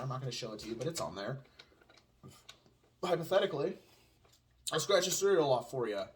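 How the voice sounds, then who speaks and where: A man's voice: fairly high pitch, fairly bright tone. A man with a pronounced Southern US twang, ranting sarcastically at home in a casual chat with his wife. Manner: sarcastic, ranting, answers his own mock questions. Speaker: podcast co-host.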